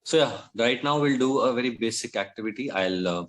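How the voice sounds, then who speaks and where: A man tutoring English, asking questions patiently and gently correcting his student on a video call. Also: medium pitch, brisk. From India. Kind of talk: tutoring conversation.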